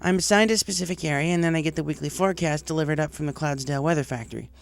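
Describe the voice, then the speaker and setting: A man's voice: fairly high pitch, rapid-fire, vocal fry, no echo, voice pushed up. A man voicing an eager, cocky young female pegasus pony in a story reading, with an informal, brash way of talking. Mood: confident.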